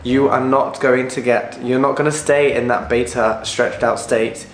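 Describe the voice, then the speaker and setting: A man, a hairstylist, sounds husky after the flu and explains things directly in an educational vlog. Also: medium pitch, fairly even intonation, a little slow, roomy sound, a little hiss or hum. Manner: direct, explanatory, asks the viewer if it makes sense.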